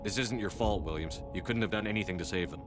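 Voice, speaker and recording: A man playing a steady, resolute military commander in a science fiction game, calm, terse and authoritative. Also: low-pitched, quick pace, close to an everyday voice. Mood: steady, firm. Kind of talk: video game cutscene acting. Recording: loud music under the voice.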